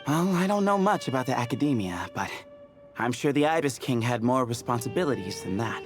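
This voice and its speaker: A man voicing an easygoing, teasing young temple scholar in a video game, explaining lore in a clear, playful way. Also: medium pitch, sing-song, brisk, close to an everyday voice. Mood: teasing, upbeat. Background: soft game music.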